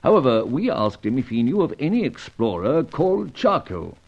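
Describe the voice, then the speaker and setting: An actor playing a genial, urbane gentleman spy chief in an old-time radio serial, with old-fashioned upper-class phrasing. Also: mid-range voice, very animated, moderate pace, gravelly, highs cut off. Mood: urbane, measured.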